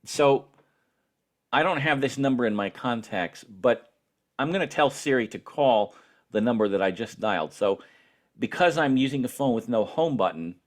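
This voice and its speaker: A man giving a spoken tutorial as an instructor, explaining each step in a deliberate, patient way. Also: on the low side.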